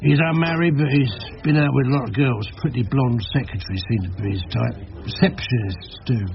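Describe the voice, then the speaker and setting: Actor as a congested, gravelly English private detective. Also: male voice, mid-range voice, fairly quick, close to an everyday voice. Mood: glum reporting. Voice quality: congested, as with a heavy cold. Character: doleful male private detective with perpetual cold. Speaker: private investigator. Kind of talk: radio drama acting. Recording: dull, lo-fi recording with faint noise.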